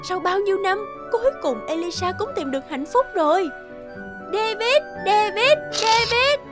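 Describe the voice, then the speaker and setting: Woman putting on a squealing high-pitched young fangirl. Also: animated, normal speed, music in the background. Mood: swooning. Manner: breathless, swooning, exclamatory. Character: excited gushing young female celebrity fan.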